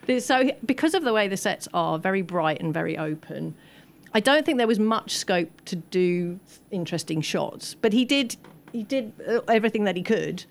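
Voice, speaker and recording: A woman's voice: medium pitch, expressive, moderate pace, gravelly. Woman, enthusiastic film expert. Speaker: expert guest, film historian. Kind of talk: post-screening panel discussion.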